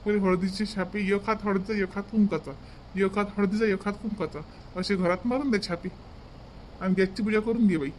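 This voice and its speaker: High-pitched village priest played by a man. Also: steady tone, a little fast, a little mellow, a little hiss or hum, close to an everyday voice, rough recording. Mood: obliging. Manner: instructing, repeats his directions. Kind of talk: comedy cartoon dialogue.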